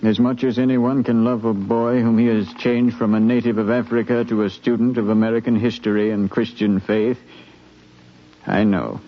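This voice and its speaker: A man acting a grave, orderly ship's captain in a 1950s radio drama, formal and measured, sombre in his telling. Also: fairly low pitch, steady tone, natural voice. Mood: grave concern. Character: grave, orderly slave-ship captain narrating his voyage. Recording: muffled, hissy 1950s radio recording.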